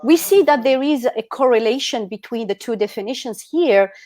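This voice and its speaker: A woman's voice: a little high, animated, normal speed, warm tone, no echo. Earnest woman presenting on a video call. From Algeria. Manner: earnest, with fillers like "like" and "um". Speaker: guest speaker.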